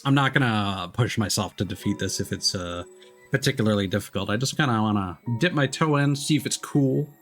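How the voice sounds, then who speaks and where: A man's voice: lowish voice, brisk, a bit bright. A man giving laid-back, noncommittal commentary while livestreaming a video game. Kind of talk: casual gameplay commentary. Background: game music.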